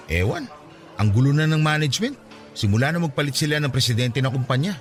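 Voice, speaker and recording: A friendly young man's voice in a radio drama, as an actor plays him, moving up and down a lot as he gives warm advice. Soft music sits quietly in the background. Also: medium pitch, lightly acted. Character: young man Raj, caring childhood friend.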